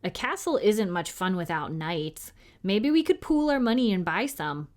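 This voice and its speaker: Inquisitive young schoolboy read by a woman, storybook friend, chatty, direct, medium pitch. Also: very animated, moderate pace, darkish tone, lightly acted. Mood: disappointed.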